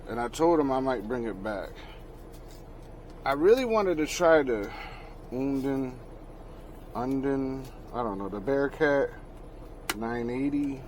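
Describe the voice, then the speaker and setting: A man's voice: medium pitch, lively intonation, takes it slowly, long pauses, a little mellow. A man talking casually to himself as he does a hands-on install in a truck cab, muttering with slangy, sweary asides. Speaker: truck driver vlogger. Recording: noisy truck cab, vehicle noise.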